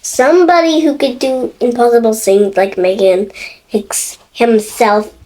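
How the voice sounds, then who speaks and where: A woman's voice: a little high, fairly even intonation, measured pace, warm tone, rough recording. A six-year-old girl reading a picture book aloud expressively, stumbling and correcting herself now and then. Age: child, six years old. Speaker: young storybook reader.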